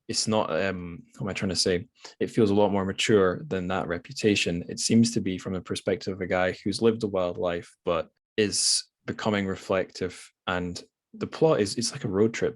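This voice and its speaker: A man with a low voice and a dry, close sound hosts a podcast interview. He talks informally and loosely, working out his thoughts as he goes. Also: no echo. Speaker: podcast host. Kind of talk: casual literary interview.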